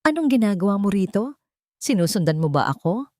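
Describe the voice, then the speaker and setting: A woman's voice: medium pitch, very animated, a little fast, darkish tone, dry recording, clean studio sound, pitched above the performer's own voice, natural voice. A woman voicing a cold, calculating male crime boss in an audio drama, menacing and contemptuous. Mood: cold, disdainful.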